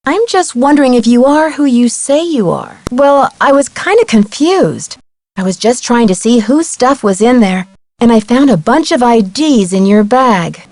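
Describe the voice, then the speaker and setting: A woman playing a curious, plucky young amateur detective in an adventure game, chirpy and clearly spoken. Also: fairly high pitch, animated, moderate pace, a little mellow, no room sound. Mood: concerned, probing. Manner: inquisitive, chirpy, clearly articulated. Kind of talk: scripted adventure game dialogue.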